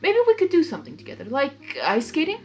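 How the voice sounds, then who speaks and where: A woman's voice: high voice, huge pitch swings, fast, a little mellow, voice pushed up. A woman voicing a playful, theatrical male creature of chaos from a cartoon fan story, teasing and doting. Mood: eager.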